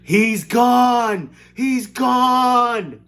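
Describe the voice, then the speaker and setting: A man's voice: on the high side, expressive, moderate pace, fairly bright tone, breathy edge, voice pushed up, heavily acted. A man reading aloud while voicing a frantic mother who screams and wails. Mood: panicked. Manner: screaming, wailing.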